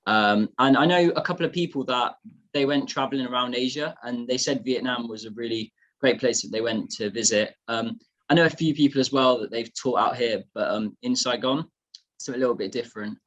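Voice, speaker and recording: Podcast guest, male, 20s, English accent, medium pitch, informal conversational delivery. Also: a little fast, fairly bright tone, dull audio. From England. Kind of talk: casual chat.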